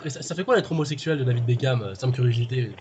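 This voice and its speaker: A man's voice: mid-range voice, very fast, darkish tone, lightly acted. A man playing a flustered footballer's manager in an improvised prank call, cheeky and mock indignant. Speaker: prank caller.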